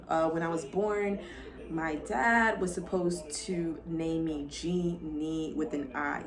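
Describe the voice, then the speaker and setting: A young woman from Florida, a lifestyle vlogger, chatting casually and upbeat to her viewers as she introduces herself. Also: lowish voice. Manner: chatty, upbeat, addresses viewers as y'all and girl.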